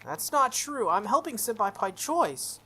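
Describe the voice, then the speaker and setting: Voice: medium pitch, big pitch swings, unhurried, pushed and bright, slight background noise, voice pushed up. The player of a visual novel voicing a timid teenage schoolgirl who protests earnestly.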